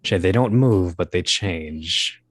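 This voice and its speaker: A man talking casually as an interview guest about his creative work, in a halting, thoughtful way. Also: deep voice. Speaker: audio drama creator and guest.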